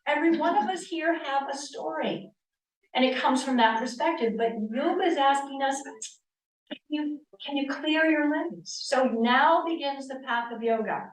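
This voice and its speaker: A woman's voice: high voice, normal speed, echoey room, far from the mic, rough recording. A woman in her sixties from Connecticut, a yoga teacher giving an earnest church talk full of rhetorical questions. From Connecticut, US East Coast. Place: church hall.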